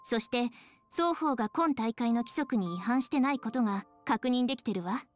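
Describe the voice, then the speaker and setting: A woman's voice: on the high side, moderate pace, faint music behind, lightly acted. A woman voicing a serious, logical young official who explains matter-of-factly in a Japanese anime-style game. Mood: composed explanation.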